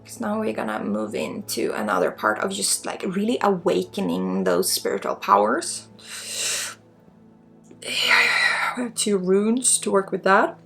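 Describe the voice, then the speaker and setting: Slow, creaky Swedish woman doing ASMR. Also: medium pitch, lively intonation. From Sweden. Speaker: spiritual guide, energy healer. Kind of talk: ASMR spiritual healing session, unscripted. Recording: soft music under the voice. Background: soft music.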